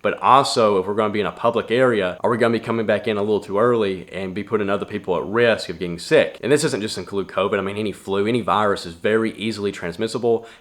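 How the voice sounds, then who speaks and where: A man's voice: on the low side, quick pace, hardly any pauses, a bit bright. A young man giving casual fitness advice to camera in a plainspoken, earnest way from his home gym. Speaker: fitness vlogger.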